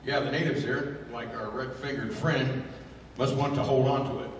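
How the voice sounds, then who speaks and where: A man's voice: medium pitch, fairly even intonation, normal speed, lightly acted. Gung-ho tough sidekick played by a male actor, old-time radio serial, exclamatory, far from mic in a reverberant hall. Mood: keen. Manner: exclamatory, plain-spoken. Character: hearty, gung-ho big-fisted adventurer sidekick, a man. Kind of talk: 1930s radio adventure acting. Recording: echoey hall from far off, faint noise.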